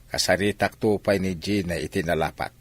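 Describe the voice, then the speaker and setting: A man playing a scheming, argumentative village husband with an Ilocano accent in a radio drama, animated and protesting. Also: deep voice, normal speed. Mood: insistent.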